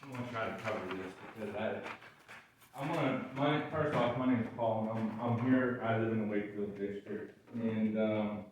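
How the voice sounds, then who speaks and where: A man with a gravelly voice giving frustrated, emphatic public comment at a county board meeting. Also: fairly low pitch, normal speed, slight background noise. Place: large echoey meeting room. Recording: echoey room, voice far from mic.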